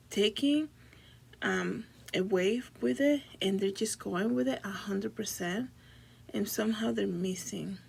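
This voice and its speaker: A woman's voice: mid-range voice, gravelly, a little mellow, breathy edge, low-quality recording. A woman from the Dominican Republic talking casually to her viewers as a video blogger, often searching for her words. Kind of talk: casual personal talk to viewers.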